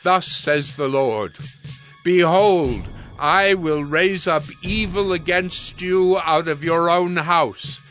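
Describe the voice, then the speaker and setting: A man playing a stern, solemn prophet who declaims God's judgment deliberately in an audio Bible drama. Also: on the high side, fairly bright tone, soft background music. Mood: stern, grave.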